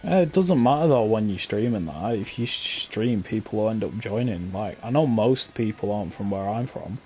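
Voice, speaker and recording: A relaxed young man with an English accent, talking loosely to his viewers as he streams. His voice is mellow and dark-toned, with a faint hiss of noise behind it. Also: lowish voice, normal speed, rough recording. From England. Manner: relaxed, offhand, chatty with viewers. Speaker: streamer hosting a car meet. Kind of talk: casual gaming stream chat.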